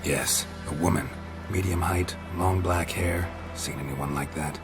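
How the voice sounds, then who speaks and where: A grim monster hunter who talks in short, blunt, dry sentences. The voice sits extremely low. Game music plays clearly behind him. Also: male voice, steady tone, measured pace. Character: grim weary monster-hunter man, terse. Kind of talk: video game cutscene acting.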